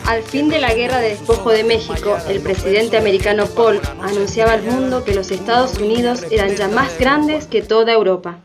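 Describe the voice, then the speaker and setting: A woman's voice: a little high, fairly quick, hardly any pauses, over music. A woman reading scripted narration for radio theatre.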